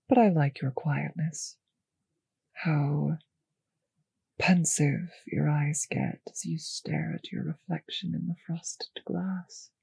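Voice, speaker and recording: A woman acts out a refined female vampire for an intimate audio drama, possessive and predatory. Her voice is husky and close, recorded very dry, with an unhurried pace. She teases with condescending endearments and slips into whispers. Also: low voice, fairly even intonation, a little mellow, no room sound, heavily acted. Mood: languid, teasing. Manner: slow, condescending, teasing endearments, drifting into whispers.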